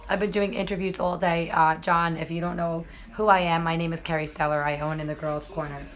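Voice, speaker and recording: A slightly hoarse woman hosting a casual live stream about fights, chatting to viewers with lots of fillers. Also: on the low side, little pitch movement, brisk. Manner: chatty, many fillers, addresses viewers directly. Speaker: MMA journalist and live-stream host.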